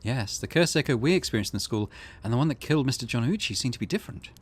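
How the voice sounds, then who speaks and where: A man voicing a calm, analytical young woman investigating curses in a visual novel game. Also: mid-range voice, breathy edge. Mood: serious, measured. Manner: measured, analytical.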